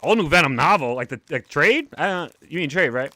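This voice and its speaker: A young man with a thick New York accent who gets excited fast, talking in a bouncy, cartoon-like way. His voice sits a bit high and sounds bright and forceful. The sound is close and dry, with no room around it. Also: no room sound. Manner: excitable, animated, talks like a cartoon character. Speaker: comic collector streamer. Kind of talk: casual livestream chat.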